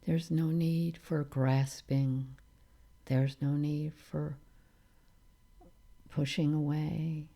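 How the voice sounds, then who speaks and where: Slow, low, mellow woman guiding meditation. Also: creaky. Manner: calm, soothing, unhurried with long pauses. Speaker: meditation teacher. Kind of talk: guided meditation.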